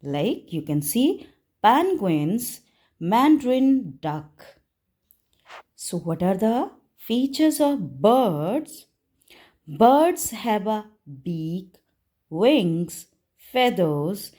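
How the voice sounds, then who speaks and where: A woman teacher narrating a simple lesson for young children, explaining deliberately in short phrases. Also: mid-range voice, big pitch swings, warm tone. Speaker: primary school teacher.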